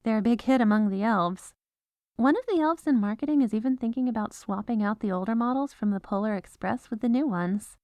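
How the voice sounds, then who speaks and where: A woman's voice: a little high, brisk, a little mellow, no echo, lightly acted. Dry-miked, exasperated female legal advisor, acted by a woman. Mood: brighter, earnest. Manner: businesslike, wry, exasperated. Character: exasperated, dutiful head legal advisor at Santa's North Pole. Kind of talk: scripted comedic audio roleplay monologue.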